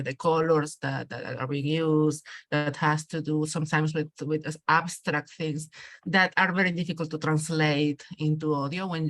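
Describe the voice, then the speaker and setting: A woman speaking as an interview guest, reflective and thoughtful, with halting phrasing and repeated words. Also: sits low, no room sound. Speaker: guest writer and digital rights activist.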